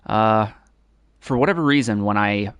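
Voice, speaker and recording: A raspy-voiced man hosting a casual gameplay walkthrough, speaking close to his mic and keeping his voice down. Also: fairly low pitch, normal speed. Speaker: gaming walkthrough host. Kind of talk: casual live gameplay chat. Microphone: console headset or close mic.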